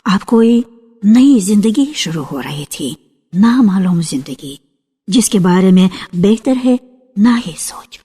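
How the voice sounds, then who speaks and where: A woman narrating a literary story for radio in a measured storytelling manner. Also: medium pitch, lively intonation, dark tone, slightly rough. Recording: reverberant archival radio recording with music.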